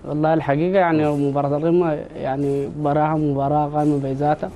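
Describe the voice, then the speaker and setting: A young man in his twenties with a Sudanese Arabic accent is a studio guest, talking into a lapel mic. His voice is slightly high but mellow, and he talks quickly in an earnest, halting way. Faint noise sits behind him. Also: little pitch movement. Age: 20s. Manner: earnest, halting, with filler restarts. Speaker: football fan guest, medical student. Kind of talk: studio interview.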